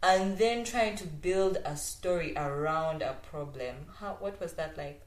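Low-pitched woman interviewer in an echoey room. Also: unhurried. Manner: listens with frequent small acknowledgements. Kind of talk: conversational interview questioning. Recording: roomy indoor echo.

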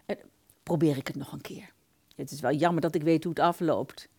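A woman's voice: low-pitched, fairly even intonation, a little fast, mellow, husky. An actress playing a hesitant, thrifty older woman on a pension in a radio play, stammering and repeating herself, apologetic but persistent. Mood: resigned.

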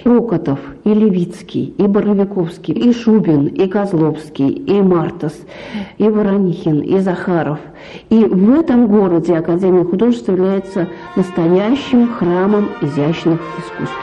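A woman's voice: medium pitch, normal speed, mellow, soft background music. A woman speaking as an art history lecturer, in a formal, emphatic way. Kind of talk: interview commentary on art history. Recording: slightly dull radio sound.

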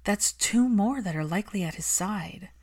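A woman voicing a concerned, caring female healer in a story reading, earnest and gently pressing. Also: medium pitch, lively intonation, normal speed, husky, pitched above the performer's own voice.

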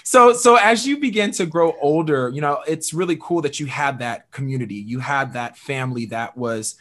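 A young man hosting an interview podcast, talking in an animated, upbeat way with many fillers. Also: a little high, moderate pace. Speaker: podcast host. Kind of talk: conversational interview.

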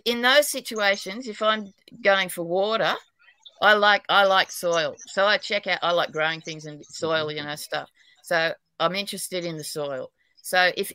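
A woman's voice: fairly low pitch, bright, forceful tone, smooth, dry recording. An Australian woman from New South Wales, a psychic and dowser, chatting in a rambling, talkative way and laughing often. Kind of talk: casual podcast chat.

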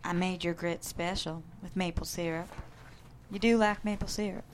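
An actress plays a tender, steady Southern mother in her thirties from a film drama. Her voice is on the low side for a woman and her Southern accent is soothing and sure. Faint noise sits around her in the room recording. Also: normal speed, natural voice. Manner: gentle, soothing, clear in purpose. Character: kind, firm thirty-year-old Southern wife and mother. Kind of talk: acted table read of a drama screenplay.

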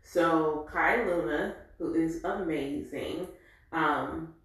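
A woman in her forties telling a candid, reflective personal story in an animated way. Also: low voice, very slow. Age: 40s. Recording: very echoey room.

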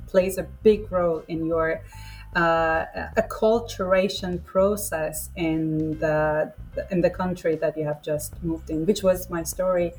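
A woman from Iran, a native Persian speaker, who talks as a podcast guest and English teacher in a thoughtful, halting way with frequent uhs. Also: on the low side, a little mellow. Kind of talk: casual podcast conversation.

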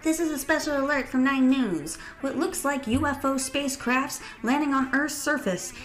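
A woman voicing an alarmed radio news announcer in a story, loud and urgent as in a breaking bulletin. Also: high voice, normal speed, pitched above the performer's own voice, lightly acted.

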